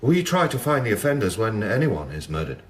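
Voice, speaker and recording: An actor playing a stubborn, guarded senior police detective, terse and evasive in a crime radio drama. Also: male voice, lowish voice, sing-song, fairly quick. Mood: stonewalling. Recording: echoey room acoustic.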